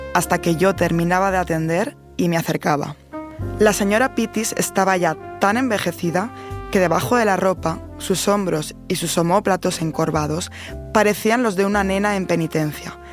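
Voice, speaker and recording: A woman reading a literary short story aloud in a measured, even way. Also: lowish voice. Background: faint music.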